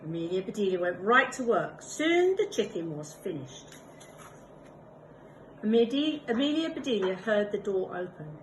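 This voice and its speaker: A woman, a primary school teacher, reading a picture book aloud with expressive storytelling and little asides. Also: mid-range voice, a little slow, slight background noise, low-quality recording.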